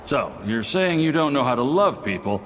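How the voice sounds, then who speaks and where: An actor playing a gentle, reassuring young man in a game, softly encouraging. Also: medium pitch, very animated, moderate pace, a little hiss or hum. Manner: soft and encouraging. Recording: long reverb, faint ambience.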